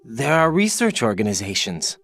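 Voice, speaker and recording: An actor voicing a serious, sceptical young male soldier in a video game, questioning in a terse, steady way. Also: mid-range voice, normal speed, creaky, faint music behind. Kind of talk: scripted game cutscene dialogue.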